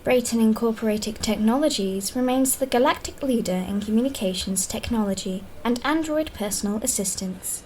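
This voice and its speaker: A woman's voice: a little high, moderate pace, few pauses, a little mellow, a little hiss or hum, natural voice, poor audio. A woman voicing a polite, impassive computer interface in a science-fiction audio drama, with formal, emotionless delivery. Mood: polite, neutral.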